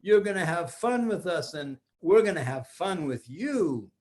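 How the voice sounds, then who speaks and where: Polite little storybook kid, earnest, apologetic, raised pitch older male storyteller acting it out. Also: very animated, measured pace, a little mellow. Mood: sorry, earnest.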